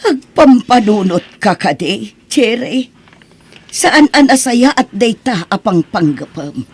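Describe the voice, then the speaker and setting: A woman's voice: fairly high pitch, very animated, slow, slightly rough, exaggerated voice. A woman acting a worried, pleading older mother in a fretful radio-style drama manner.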